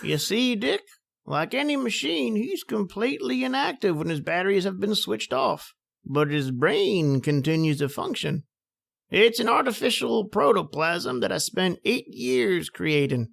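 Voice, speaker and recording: Man as a high-pitched, creaky professor. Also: sing-song, unhurried, a bit bright, heavily acted. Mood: proud, expansive. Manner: avuncular, theatrical, showing off. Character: genial, proud old professor inventor. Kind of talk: old-time radio drama acting.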